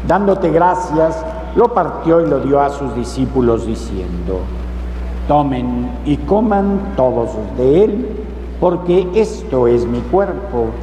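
Solemn priest in a reverberant church. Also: male voice, mid-range voice, very animated. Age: middle-aged. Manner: measured, solemn delivery. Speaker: priest presiding at Mass. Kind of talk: solemn liturgical prayer. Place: large church, at the altar. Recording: echoey basilica, long reverb, loud noise.

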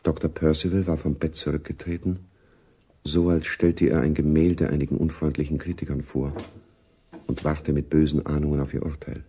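A very deep, husky grave male narrator of a spy radio play. He speaks in a measured, sombre way with little movement in pitch. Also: flat delivery, mellow. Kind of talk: scripted radio drama narration.

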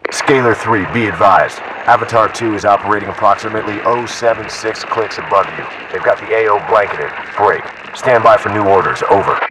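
Casting: man as calm, low-pitched soldier, clipped radio procedure, heavy static and noise. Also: fairly quick, few pauses. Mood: businesslike. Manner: procedural, terse, uses call signs. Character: calm male soldier on military radio net. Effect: military radio filter. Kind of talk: clipped military radio chatter. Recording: noisy, distant military radio transmission.

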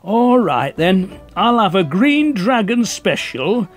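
A man playing a droll, grumbling Northern English working-class husband in a radio play, with a husky, dry delivery. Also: sits high, very animated, takes it slowly, faint music behind, exaggerated voice.

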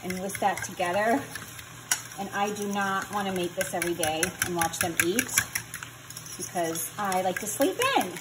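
A middle-aged woman chats her way through a cooking demo, teasing herself as she goes. She is recorded on a phone in her home kitchen. A pan sizzles clearly in the background. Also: mid-range voice, normal speed, very noisy. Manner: chatty, joking, self-teasing. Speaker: home cook vlogger.

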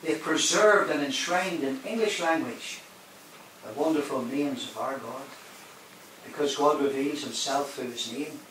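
A man's voice: mid-range voice, measured pace, fairly bright tone. A man preaching a sermon in a large hall, emphatic, rising and dropping his voice and questioning the congregation. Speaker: guest preacher. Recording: very echoey hall, mic at a distance, faint hiss.

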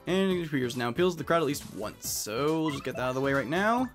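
A man's voice: fairly high pitch, lively intonation, fast, over music. A man giving casual let's play commentary on a video game, reading dialogue aloud as he goes.

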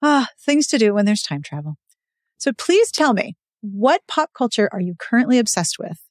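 A woman's voice: on the high side, very animated, moderate pace, dry recording, clean studio sound. A woman hosting a podcast in casual interview chat, enthusiastic and given to exclamations. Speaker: podcast host.

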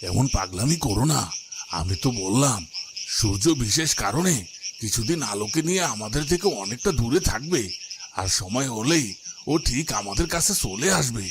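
A man's voice: mid-range voice, expressive, normal speed, noisy background, lightly acted. A man playing a sorrowful, patient village husband and father in a cartoon, pleading and reasoning. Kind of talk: cartoon drama dubbing.

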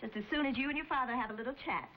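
A woman playing a gentle, reassuring wife and stepmother in a 1950s television drama. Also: sits high, rapid-fire, noisy background, lightly acted. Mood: composed. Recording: muffled 1950s television soundtrack, loud music.

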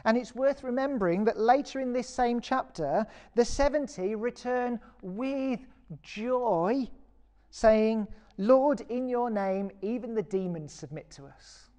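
British woman preaching, mellow voice. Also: on the high side, slow pace. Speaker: parish vicar preaching. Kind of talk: recorded sermon.

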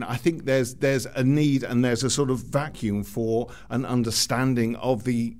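A man's voice: medium pitch, darkish tone. A middle-aged man with a mid-range voice speaks as an interview guest. He is conversational and thoughtful, feeling his way toward the right word. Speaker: podcast guest.

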